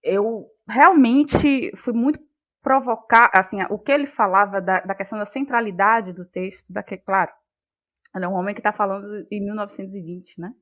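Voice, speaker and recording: A woman's voice: mid-range voice, moderate pace, fairly bright tone. A woman, an interview guest explaining in a searching, conversational way and repeating phrases for emphasis. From Bahia, Brazil. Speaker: interview guest, playwright and actress.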